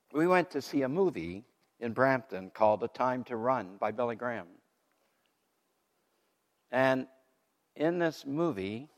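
An older man telling his faith story from the pulpit in a loud, lively way, laughing between points. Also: medium pitch. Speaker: guest speaker giving testimony. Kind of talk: testimony sermon. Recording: long hall reverb.

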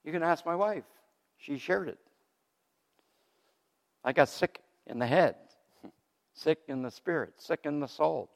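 An older man telling his faith story from the pulpit in a loud, lively way, laughing between points. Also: a little high, a little slow, vocal fry, pauses often. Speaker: guest speaker giving testimony. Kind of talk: testimony sermon. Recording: long hall reverb.

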